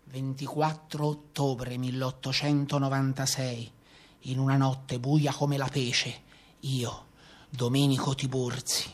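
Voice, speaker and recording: A man's voice: mid-range voice, breathy edge. An actor playing a rustic bandit with a Tuscan accent, telling his hard life in a plain, folksy theatrical monologue. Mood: grim, subdued. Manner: plain-spoken, folksy storytelling. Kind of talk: theatrical monologue in a radio play.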